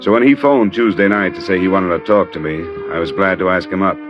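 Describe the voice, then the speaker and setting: A man's voice: sits low, fast talker, over music, close to an everyday voice. A man playing a wry, middle-aged American insurance investigator in a clipped, matter-of-fact old radio noir style. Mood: dry, businesslike. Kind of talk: 1940s-50s radio noir acting and narration. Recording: dull old radio recording.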